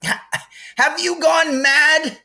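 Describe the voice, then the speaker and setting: A man voicing a blunt, sarcastic and excitable young boy in a storybook, with an exasperated manner. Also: high voice, drawn-out words, pitched above the performer's own voice, full character voice. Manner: snarling, exasperated.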